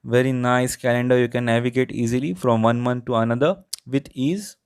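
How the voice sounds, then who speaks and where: Male tech reviewer, Indian accent, smooth clear voice, close dry sound, explanatory walkthrough delivery. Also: mid-range voice, steady tone, fairly quick, no room sound. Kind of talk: product review narration, unscripted walkthrough.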